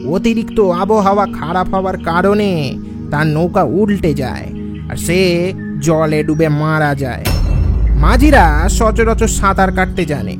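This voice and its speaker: Male actor as knowing villager, high-pitched, slow and admonishing, audio drama, clear music bed with birdsong. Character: grave, admonishing village man who knows local lore. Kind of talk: dramatised audio-story dialogue.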